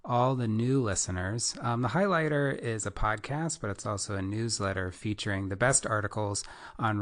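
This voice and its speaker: A man with a fairly low voice hosts a casual interview podcast. He introduces his guest in an upbeat, friendly way and keeps the conversation moving. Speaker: podcast host.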